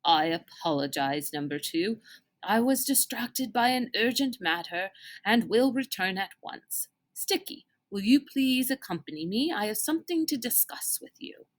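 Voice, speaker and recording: A woman reading as a formal, kindly older gentleman, lively intonation. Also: sits high. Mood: apologetic, discreet. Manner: formal, deliberate, old-fashioned phrasing.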